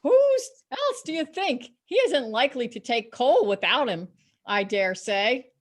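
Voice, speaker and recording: A brazen Victorian charwoman played by a woman in a storybook reading. Her voice sits fairly high, and she is scornful, emphatic and entirely unrepentant. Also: very animated, a little slow. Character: bold, defiant, unrepentant Victorian charwoman selling stolen goods. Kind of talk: dramatic reading of a classic story.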